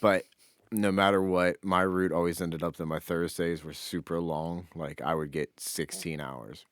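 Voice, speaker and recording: A man's voice: deep voice, fairly even intonation, unhurried, dry recording, studio quality. A man co-hosting a podcast, telling anecdotes in an informal, conversational way. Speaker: podcast co-host. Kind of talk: casual chat, anecdotal storytelling.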